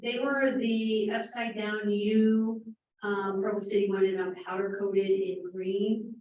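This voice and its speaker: A woman chairing a neighborhood meeting in a city hall room, talking loudly and explaining things directly at length. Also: mid-range voice, level tone, takes it slowly, highs cut off, a little hiss or hum. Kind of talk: community meeting discussion. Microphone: handheld mic, from a distance. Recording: echoey meeting room from far off.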